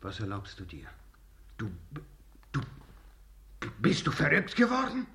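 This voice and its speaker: An actor playing a cheeky, blustering court jester in a radio play, exaggerated and theatrical. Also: male voice, mid-range voice, wildly swooping pitch, unhurried, pushed and bright, a little hiss or hum, heavily acted. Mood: mock outrage. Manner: exaggerated mock outrage, theatrical. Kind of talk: German radio play acting.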